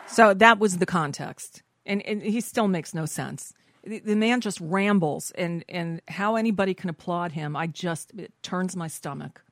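A woman's voice: on the low side, a little slow, no room sound. A woman co-hosting a casual political show, giving blunt, disgusted commentary. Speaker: podcast co-host.

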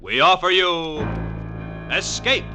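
Over very loud music, an adventure show announcer declaims urgently. His pitch is high and his delivery dramatic, in the manner of 1940s radio. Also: lively intonation, slow pace.

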